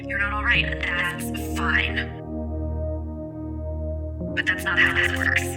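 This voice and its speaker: An actress playing a steady, resolute crew member in an audio drama, speaking earnestly and deliberately. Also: female voice, fairly low pitch. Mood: urgent, consoling. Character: steady, resolute female crewmate urging a comrade on. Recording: loud ambient music behind the voice.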